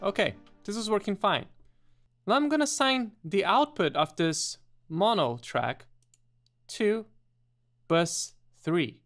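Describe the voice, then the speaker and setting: Methodical man narrating a software tutorial. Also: high voice, very animated, takes it slowly, faint music behind. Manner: methodical, explanatory. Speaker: tutorial presenter.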